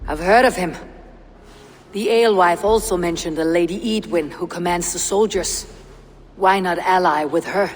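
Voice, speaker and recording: An actor playing a blunt, stoic Viking raider in a video game cutscene, speaking in a measured, solemn way. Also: male voice, high voice, a little hiss or hum, natural voice. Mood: challenging, irked.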